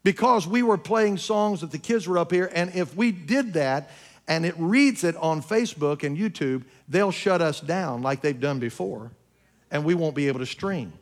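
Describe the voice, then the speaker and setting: A fifty-year-old man preaching a sermon to his congregation, emphatic, swinging between loud peaks and quiet asides and asking them questions. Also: fairly high pitch, lively intonation, moderate pace. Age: 50s. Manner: addresses congregation directly with questions. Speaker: pastor. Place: church sanctuary.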